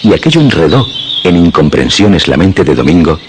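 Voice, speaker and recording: A man's voice: low-pitched, big pitch swings, moderate pace, slight background noise. A man narrating a scripted radio drama in a dramatic storytelling style. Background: music.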